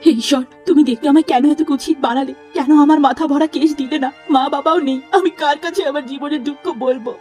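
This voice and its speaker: A woman voicing a humble, long-suffering poor young woman in a cartoon, gentle and plaintive. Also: high voice, a little fast, few pauses, soft background music, lightly acted. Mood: sorrowful. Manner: plaintive, pleading.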